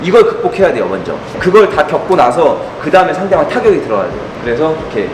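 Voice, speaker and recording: A man's voice: on the high side, sing-song, fast talker, noisy background. A male boxing trainer leading a group class in a gym, firmly coaching and calling out counts. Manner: firm, coaching, calls out counts. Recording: echoey gym with loud music.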